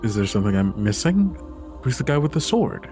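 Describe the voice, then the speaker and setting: A man's voice: mid-range voice, sing-song, brisk, a little mellow, soft background music, lightly acted. A man playing an uncertain, defensive young girl in a tabletop game, hesitant and questioning in casual words. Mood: puzzled.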